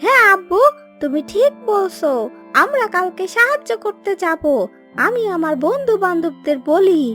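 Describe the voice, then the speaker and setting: A woman's voice: extremely high pitch, lively intonation, normal speed, a bit bright, faint music behind. A woman voicing an earnest, kind-hearted young boy in a cartoon, childlike and emphatic, with a Bangladeshi accent. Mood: eager. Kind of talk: Bengali cartoon dubbing.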